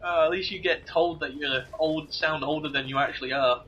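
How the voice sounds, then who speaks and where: A man's voice: fairly high pitch, fairly even intonation, brisk, a bit bright, breathy edge, highs cut off. A man with an English accent, joking, ranting and telling anecdotes in casual gaming commentary chat. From England. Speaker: co-host.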